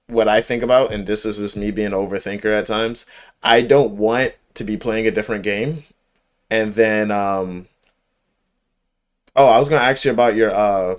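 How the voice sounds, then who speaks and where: Male game streamer, lowish voice, casual and slangy, swears freely, enthusiastic and repetitive. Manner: slangy, profane, informal; enthusiastic, emphatic, repeats himself. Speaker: livestreamer. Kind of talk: casual chat while gaming.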